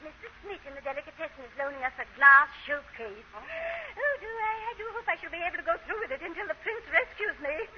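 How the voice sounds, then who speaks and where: An old, slightly noisy radio broadcast features a pompous clubwoman. Her very high voice swoops about in affected, genteel phrases. She is fluttery and easily flustered, in broad 1930s comedy style. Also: sing-song, fast talker, few pauses, highs cut off, heavily acted.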